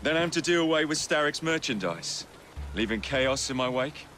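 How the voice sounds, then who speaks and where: An actor playing a brash young Victorian gang fighter in a video game, with a wry, teasing delivery. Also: male voice, fairly high pitch, expressive, normal speed, fairly bright tone, a little hiss or hum. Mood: wry, questioning. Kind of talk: video game dialogue acting.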